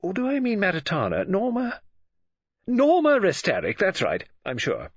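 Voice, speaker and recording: A man voicing an excitable, scatterbrained middle-aged woman novelist in an audiobook, gushing and rambling in a flustered way. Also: high voice, normal speed, breathy edge, dry recording, lo-fi sound, pitched above the performer's own voice, full character voice. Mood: musing, emphatic. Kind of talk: audiobook character dialogue.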